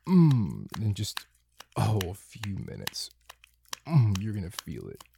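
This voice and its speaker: A man speaking in a slow, breathy intimate roleplay, moaning and dropping into whispers. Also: on the low side, wildly swooping pitch, dark tone. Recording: clear background noise with clicking.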